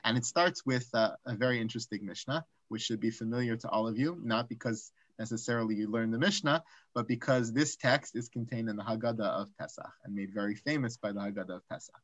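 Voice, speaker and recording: A man teaching an online Talmud class, raising rhetorical questions and dropping into quiet asides. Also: medium pitch, fast talker, dry recording, highs cut off. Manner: animated, asks rhetorical questions, drops to asides.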